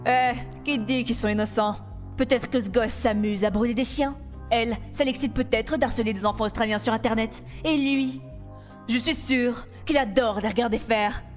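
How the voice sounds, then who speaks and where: Girl doing a sarcastic brash cartoon lady, highish voice, bit of music behind. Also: normal speed, lightly acted. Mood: sarcastic, cutting. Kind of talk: animated comedy fan dub.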